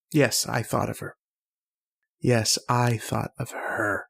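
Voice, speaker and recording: An actor playing a haunted, anguished man telling his story in a halting, dramatic old-time radio horror style. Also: on the high side, vocal fry, exaggerated voice. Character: haunted, anguished man recounting his nightmares and lost love.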